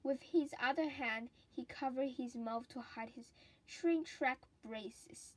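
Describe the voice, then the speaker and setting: Slow, high-voiced woman reading a children's story. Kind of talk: children's story read-aloud.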